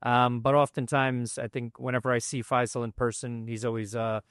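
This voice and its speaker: A man hosting a podcast over a video call, introducing his guest and asking questions in a measured, courteous, slightly halting way. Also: medium pitch, little pitch movement, a bit bright, smooth, dry recording, studio quality. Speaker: podcast host.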